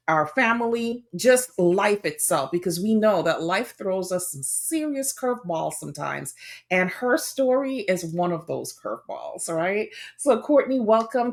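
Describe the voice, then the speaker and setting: A woman hosting an interview podcast in a casual, bubbly way, laughing off her own slips. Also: lowish voice. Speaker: podcast host.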